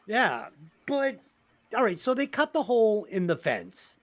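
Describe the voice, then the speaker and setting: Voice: mid-range voice, sing-song, a little slow. A podcast co-host in casual comedy movie-review chat, reading out plot summaries and swearing casually.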